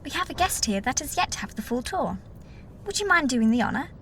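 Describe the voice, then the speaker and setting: Woman playing a bright, high-voiced senior doctor. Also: very animated, brisk, slight background noise, heavily acted. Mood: brisk, courteous. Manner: formal, old-fashioned polite phrasing. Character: brisk, courteous female senior colony doctor. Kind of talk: science-fiction audio drama acting.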